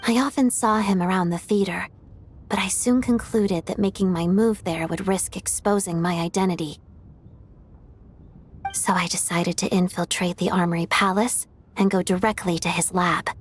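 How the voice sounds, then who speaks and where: An actress voicing a secretive, earnest female undercover operative in a video game, with a measured, confiding delivery. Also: mid-range voice, breathy edge, natural voice.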